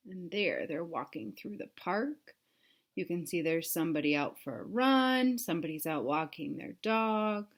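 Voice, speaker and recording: A woman reading a picture book aloud to young children, pausing to explain things simply and ask them questions. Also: lowish voice, lively intonation. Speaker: read-aloud storyteller for children.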